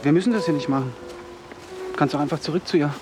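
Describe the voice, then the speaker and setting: A man's voice: a little high, quick pace, music in the background, lightly acted. An actor playing a guarded, defiant young man in a radio play, speaking in a clipped, wary and challenging way.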